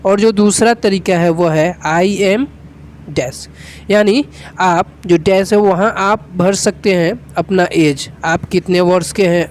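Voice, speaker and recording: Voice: mid-range voice, a little mellow, slight background noise. Methodical Indian teacher explaining step by step. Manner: methodical, repetitive phrasing. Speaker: English teacher. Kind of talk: instructional lesson, explaining step by step.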